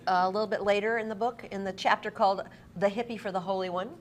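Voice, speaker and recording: A woman's voice: mid-range voice, steady tone, fast. A woman interviewing a guest in an effusive, affirming way, sometimes reading aloud from a book. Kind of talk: on-stage interview with read-aloud book passages. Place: busy convention event room. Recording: clearly noisy live event room recording.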